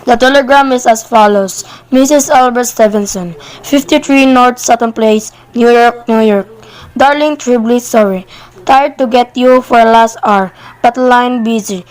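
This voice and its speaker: A woman's voice: fairly high pitch, unhurried, close to an everyday voice, low-quality recording. A woman playing a formal, courteous telegraph clerk reading out messages in an amateur radio play.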